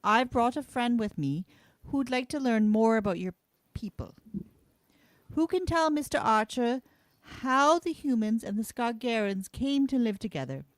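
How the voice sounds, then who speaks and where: A woman playing a kind, earnest and quietly defiant frontier schoolteacher in an amateur radio play, explaining things in a measured way. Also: fairly high pitch, expressive, no echo. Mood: encouraging.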